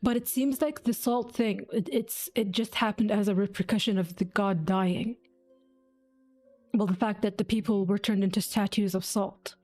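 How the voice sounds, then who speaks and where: A woman streaming a game reacts in a casual, sweary way at an average pitch. She thinks aloud and sounds deeply invested in the story, with soft music playing behind her. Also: fairly even intonation, moderate pace. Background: faint game music.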